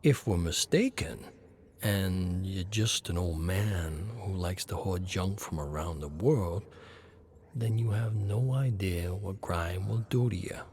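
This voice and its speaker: A man puts on a menacing agent from an audio story, cold and controlled. The voice is low and slow, each threat delivered deliberately. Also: a little mellow, a little hiss or hum. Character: cold, menacing male agent interrogating a captive.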